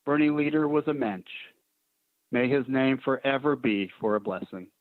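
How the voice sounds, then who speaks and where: A man's voice: mid-range voice, normal speed, no echo. A man, a legislator, delivers a solemn prepared tribute. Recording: tinny, dry phone-like line.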